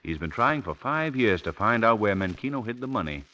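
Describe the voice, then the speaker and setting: An actor playing a calm, knowing crime-fighter in a measured, theatrical 1940s radio drama style. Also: male voice, fairly low pitch. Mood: calm, explaining. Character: calm knowing male crime-fighter, later a menacing avenger. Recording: old 1940s radio broadcast recording.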